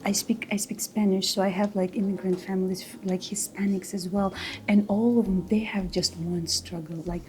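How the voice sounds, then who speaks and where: A woman's voice: mid-range voice, fairly even intonation, normal speed, dark tone. A woman from Tajikistan in her thirties, talking casually as an interview guest, with many fillers and restarts. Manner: loose, filler-heavy, frequent restarts. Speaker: realtor, guest.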